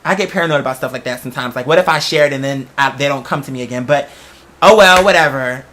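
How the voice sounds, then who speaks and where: A podcast host with a bright, forceful voice at a middling pitch, rambling casually to an audience. The delivery is slangy and emphatic, and it often wanders off on tangents. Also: fast talker.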